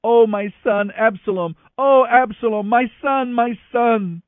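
A man voicing an anxious, grieving king and father in a dramatized scripture reading, crying out in drawn-out anguish. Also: a little high, big pitch swings, voice pushed up. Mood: grieving, wailing. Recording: radio broadcast with echo, narrow band, music behind.

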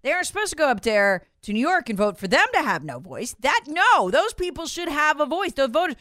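A woman delivers opinionated political commentary as a host. Her voice sits a little high and swings widely in pitch. She is sarcastic and emphatic, repeating phrases to drive them home, in a close, dry sound. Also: very animated, a little fast, a bit bright, dry recording. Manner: acts out quotes conversationally.

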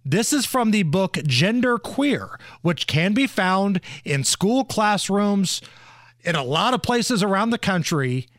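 A man's voice: sits high, big pitch swings, slow, a bit bright, no echo, studio quality. A man hosting a talk radio show with opinionated, emphatic commentary.

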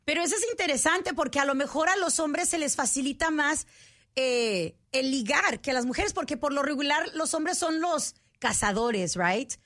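A woman's voice: high-pitched, lively intonation, normal speed, a bit bright. A woman, hosting a podcast in casual chat and mixing in English words. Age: 40s. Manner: chatty, mixes in English words. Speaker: podcast host.

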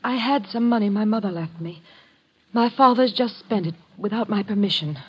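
A woman's voice: mid-range voice, normal speed, breathy edge, close to an everyday voice. A woman playing a distressed, earnest young client pleading urgently in a 1950s radio drama. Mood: earnest, troubled. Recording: dull vintage 1950 radio recording.

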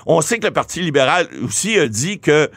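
Animated Québécois man explaining law. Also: a little high, fairly quick, no echo. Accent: Québécois French. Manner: animated, many restarts and repeated words. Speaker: lawyer commentator. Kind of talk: explanatory talk show commentary.

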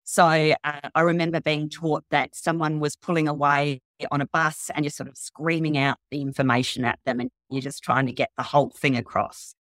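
A woman's voice: deep voice, steady tone, moderate pace, few pauses, a bit bright, dry recording. A woman journalist answering interview questions conversationally, explaining things with frequent asides and qualifications.

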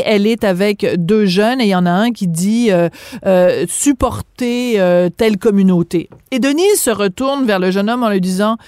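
A woman's voice: mid-range voice, darkish tone, dry recording, clean studio sound. A woman radio host with a French accent, arguing her point with emphatic rhetorical questions. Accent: French from France. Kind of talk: opinionated radio debate.

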